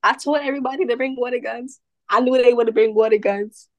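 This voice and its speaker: A woman's voice: fairly high pitch, fairly even intonation, a little fast, no room sound, muffled recording. A young adult woman from Brooklyn, chatting casually as a podcast guest, upbeat and full of fillers. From Brooklyn, New York. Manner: chatty, upbeat, with many "like" and "you know" fillers. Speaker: podcast guest, model and event host. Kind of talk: casual interview chat.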